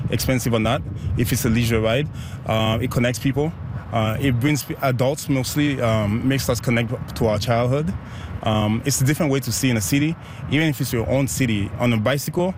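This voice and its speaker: An upbeat man from Haiti chats about his group bike rides in an easy, mid-pitched voice. He speaks in quick, cheerful bursts and laughs often. Faint traffic hums behind him outdoors in the city. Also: steady tone. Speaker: bike ride organizer. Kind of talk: casual interview.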